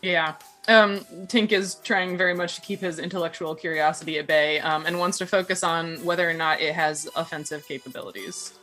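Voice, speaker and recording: Low-voiced female player, casually describing character actions, music underneath. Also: fairly even intonation, a little fast, few pauses, pushed and bright. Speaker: tabletop role-playing game player. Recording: music behind the voice.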